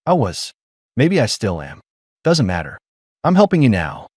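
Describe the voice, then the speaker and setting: A man voicing a weary, gruff human ex-mercenary who speaks low and clipped. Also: wildly swooping pitch, moderate pace, darkish tone, no echo, clean studio sound.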